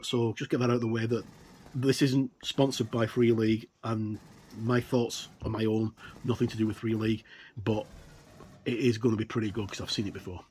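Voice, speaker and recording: A man giving a casual, somewhat halting unboxing commentary as a tabletop game reviewer. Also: medium pitch, darkish tone, husky.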